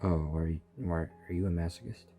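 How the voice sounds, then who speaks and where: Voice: deep voice, steady tone, fairly quick, warm tone, soft background music. A gamer giving casual reaction commentary on a game story, bantering in a teasing, exasperated way.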